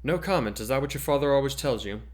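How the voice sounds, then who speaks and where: A man's voice: medium pitch, lively intonation, quick pace, pitched above the performer's own voice, natural voice. A man voicing an older male reporter who is falsely friendly and insinuating in a story reading. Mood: needling. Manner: smiling, insinuating.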